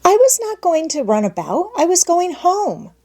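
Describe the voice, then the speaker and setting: A woman's voice: extremely high pitch, very animated, normal speed, darkish tone, voice pushed up. A woman voicing an earnest, homesick little orphan girl in a storybook, pleading and plaintive. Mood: frightened.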